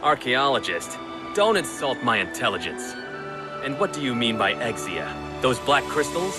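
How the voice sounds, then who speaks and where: An actor voicing a stern, sharp-minded video game hero, serious and suspicious, with a clipped, commanding manner. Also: male voice, medium pitch, sing-song, normal speed, lightly acted. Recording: music under the voice.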